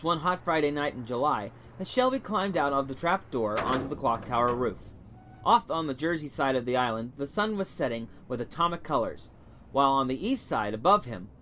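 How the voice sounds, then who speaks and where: Male narrator for short fiction, mid-range pitch, measured dramatic storytelling, faint noisy ambience behind. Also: a bit bright. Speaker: story reader.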